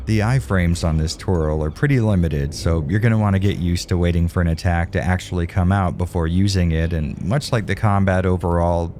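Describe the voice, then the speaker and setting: A man's voice: deep voice, mellow, a little hiss or hum. A man narrating a video game review voice-over in a casual, candid way with a lightly wry edge.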